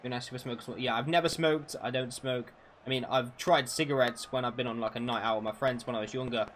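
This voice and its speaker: A man in his twenties talks casually over a football game stream, his voice sitting in an ordinary middle pitch. He chats freely with viewers and swears often as he goes. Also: steady tone, fast talker, background noise. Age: 20s. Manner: chatty, swears freely, answers viewers' questions. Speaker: gaming streamer.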